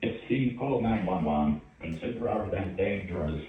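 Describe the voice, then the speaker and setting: A very echoey, phone-like recording of a low synthetic male voice. He reads an emergency alert as an automated announcer, with a flat, stilted delivery. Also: moderate pace. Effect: synthetic text-to-speech voice. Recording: phone-like narrowband, distant, echoing, faint noise.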